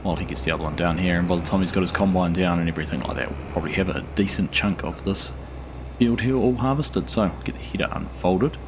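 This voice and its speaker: A man, a bit hoarse, giving relaxed, rambling commentary over a farming game. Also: deep voice, fast, background noise. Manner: relaxed, rambling with frequent fillers. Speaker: gaming channel host. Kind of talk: casual gameplay commentary.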